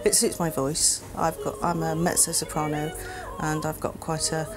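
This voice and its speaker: Woman, nurse turned opera student, deep gravelly voice with wide swings, music bed underneath. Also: moderate pace, rough recording. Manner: frank and animated. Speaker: theatre nurse and trainee opera singer. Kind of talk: interview.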